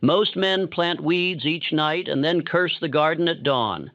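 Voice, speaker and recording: A man reading scripted audiobook-style narration meant for sleep, in a calm, steady, solemn manner. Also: a little high, a little slow, no echo, dull audio.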